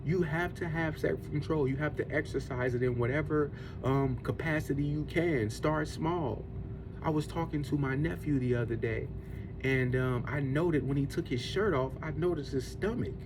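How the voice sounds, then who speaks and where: A man's voice: fairly high pitch, normal speed, noisy background. A man in his forties giving an earnest, preachy faith-based talk on discipline and eating, circling back to the same points as he goes. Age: 40s. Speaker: podcast host. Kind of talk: faith-based motivational monologue.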